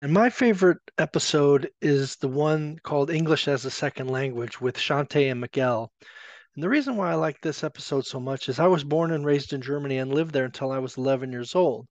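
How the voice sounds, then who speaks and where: A man co-hosting a podcast who shares a personal reflection in a steady, measured way. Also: on the high side, dry recording. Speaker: podcast co-host. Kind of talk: scripted reflection.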